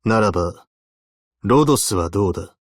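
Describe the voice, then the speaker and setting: A man's voice: lowish voice, lively intonation, normal speed, no room sound, clean studio sound. A man voicing a stern, weary veteran abyssal hunter in a video game, speaking in a terse, solemn, commanding way. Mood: grave. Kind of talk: Japanese video game character voice lines.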